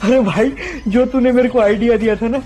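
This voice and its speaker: A man's voice: very high-pitched, fairly even intonation, brisk. A man playing a smug, scheming husband in a comedy cartoon skit, glib and argumentative. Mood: gleeful. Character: smug, scheming husband making brazen excuses.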